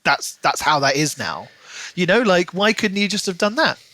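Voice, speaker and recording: A man's voice: sits high, normal speed, poor audio. A man co-hosting a casual book discussion podcast, acting out imagined dialogue as he goes. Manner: animated retelling, acts out imagined dialogue in asides. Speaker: podcast co-host.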